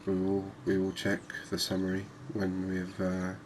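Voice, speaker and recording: A man giving relaxed, unhurried ASMR-style commentary on a video game, musing conversationally as he goes. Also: sits low. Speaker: gaming video host. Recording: clearly noisy background ambience.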